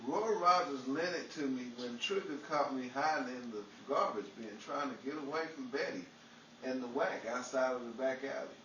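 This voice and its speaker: A man's voice: medium pitch, moderate pace, pushed and bright, roomy sound, recorded from a distance, dull audio, slight background noise, close to an everyday voice. A man playing a bumbling, boastful, childish husband in an old-time radio comedy. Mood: defensive.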